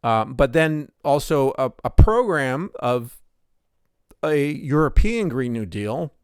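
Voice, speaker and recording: A man's voice: mid-range voice, clear, resonant tone, dry recording, clean studio sound. A middle-aged man hosting a solo commentary podcast, speaking hesitantly with frequent fillers and restarts. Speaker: podcast host.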